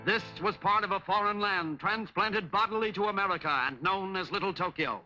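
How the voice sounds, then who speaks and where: An actor as a stern, declamatory narrator reading scripted 1940s newsreel-style narration. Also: male voice, sits high, fairly quick, pushed and bright, faint music behind. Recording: dull 1940s film soundtrack.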